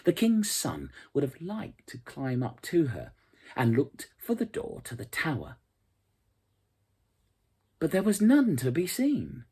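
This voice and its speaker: A storyteller reading a fairy tale aloud in an expressive, dramatic and measured way. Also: medium pitch, breathy edge. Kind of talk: scripted storybook narration.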